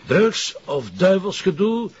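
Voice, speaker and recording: Husky Flemish police commissioner. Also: male voice, high-pitched, big pitch swings, slow pace, darkish tone, highs cut off, heavily acted. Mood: firm, impatient. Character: decisive male police commissioner, gruff at work, tender at home. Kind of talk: naturalistic TV crime drama acting.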